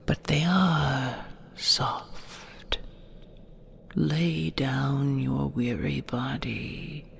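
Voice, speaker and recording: A woman's voice: rumbling low, steady tone, drawn-out words, a little hiss or hum, full character voice. A woman playing an ancient, possessive and tender she-dragon in an intimate audio roleplay, whispering soothingly with formal, old-fashioned phrasing. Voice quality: breathy, creaky whisper.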